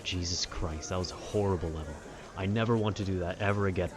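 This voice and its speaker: A man's voice: low voice, quick pace, background noise. A man casually rambling about video games in a laid-back, meandering way full of informal slang, like an amateur host talking off the cuff. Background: outdoor city ambience.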